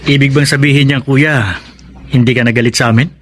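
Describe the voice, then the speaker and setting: An actor playing a forgiving, gently admonishing younger brother in a Filipino radio drama. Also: male voice, medium pitch, steady tone, a little fast, a little hiss or hum, close to an everyday voice. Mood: concerned.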